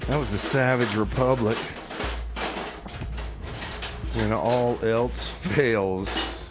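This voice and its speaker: A man's voice: mid-range voice, takes it slowly, natural voice. A man playing a casual, needling community radio DJ who rambles and repeats himself in comedy banter. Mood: casual announcing. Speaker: radio music show host and DJ. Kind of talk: comedy radio theater banter between songs.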